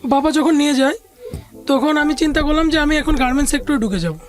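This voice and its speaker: High-pitched Bangladeshi woman telling her story. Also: fast, faint music behind. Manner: conversational, often quoting others' words. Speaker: interview guest. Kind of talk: personal life storytelling.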